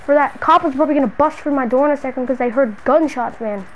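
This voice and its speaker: A woman's voice: high-pitched, fast. A female gamer improvising role-play in a slangy, dramatic, exclamatory way. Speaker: gamer narrating a role-play short film. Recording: noisy home gaming recording.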